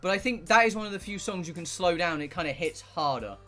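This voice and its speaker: A man's voice: sits high, animated, fast, fairly bright tone, faint music behind. A man livestreaming a visual novel, chatting casually and reading dialogue aloud in a rambling way with casual swearing. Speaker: streamer playing a visual novel.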